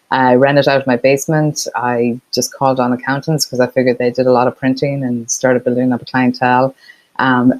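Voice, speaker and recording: A woman's voice: very deep voice, dry recording, rough recording. A middle-aged woman from Ireland with an Irish accent touched by Boston, chatting easily as an interview guest with many fillers. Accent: Irish with some Boston. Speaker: guest, partnerships expert. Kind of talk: casual interview chat.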